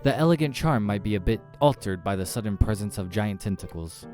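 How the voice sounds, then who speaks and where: A man's voice: fairly low pitch, lively intonation, a little fast, darkish tone, faint music behind, close to an everyday voice. A man voicing a polite, wary waiter in a visual novel game, courteous and dryly understated. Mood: wary politeness. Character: polite, wary waiter serving monstrous diners.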